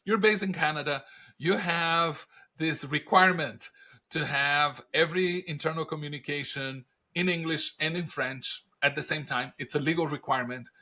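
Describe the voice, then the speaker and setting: A middle-aged man with a Brazilian Portuguese accent, a native Portuguese speaker, answering interview questions in lively stories full of rhetorical questions. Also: a little high. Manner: animated storyteller, rhetorical questions, laughs at own punchlines. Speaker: podcast guest, industry analyst.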